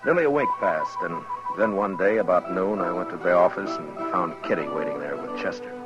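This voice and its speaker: An actor playing a stern, steady frontier marshal in the style of a 1950s radio western. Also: male voice, deep voice, brisk, natural voice. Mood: reflective. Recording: dull lo-fi old radio broadcast, some music.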